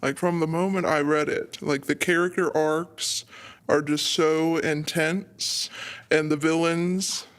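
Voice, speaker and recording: Man, fairly high voice, podcast guest, deadpan, mock-serious. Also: takes it slowly, smooth. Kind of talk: casual chat.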